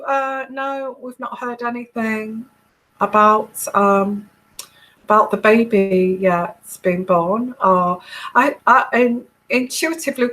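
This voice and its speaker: A woman's voice: fairly high pitch, fairly bright tone, poor audio. A middle-aged woman with an English accent talks straight to her viewers in a loose, rambling way. She keeps restarting her sentences, fills the gaps with ums, and laughs easily. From England. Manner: rambling, chatty, laughs often, many fillers and restarts. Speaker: live-stream tarot reader. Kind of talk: casual live-stream chat with viewers.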